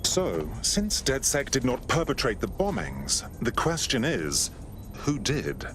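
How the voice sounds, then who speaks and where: A genteel British resistance recruit in a game cutscene. The pitch moves around expressively while the manner stays polite, arch and dryly witty. There is audible ambient noise behind the voice. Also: male voice, big pitch swings, slow. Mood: dry, concerned. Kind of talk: video game cutscene acting.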